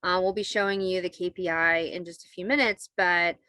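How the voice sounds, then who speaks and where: A woman's voice: fairly low pitch, fairly even intonation, fairly quick, sharp tone, no room sound. A woman leading an online committee meeting, talking in a semi-formal, conversational way as facilitator. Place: video call.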